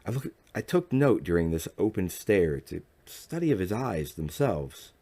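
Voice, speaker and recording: Low-pitched man reading a story. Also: sing-song, normal speed, warm tone. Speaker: audiobook narrator. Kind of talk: scripted first-person story narration.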